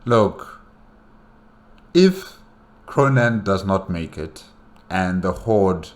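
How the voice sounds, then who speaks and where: A man hosting a casual reaction commentary, talking in a halting, repetitive, conversational way. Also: low-pitched, animated. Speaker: host reacting to a video.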